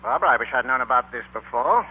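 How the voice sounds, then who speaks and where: A man's voice: medium pitch, expressive, fast, husky, phone-quality sound. An actor playing a polite, genial, well-to-do middle-aged father in a 1940s radio play. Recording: band-limited 1940s radio recording.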